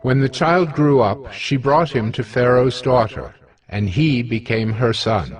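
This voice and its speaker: A man's voice: mid-range voice, lively intonation, a little slow, poor audio. A man giving scripted narration for a dramatized scripture recording.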